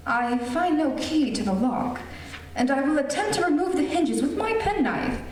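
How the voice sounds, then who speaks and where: In a very echoey space, a little far from the mic, a woman plays a self-important psychic doctor in an old radio horror play. She has a high voice and speaks in formal, deliberate, portentous phrases. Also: a little mellow. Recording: echoey distant stage recording, faint noise.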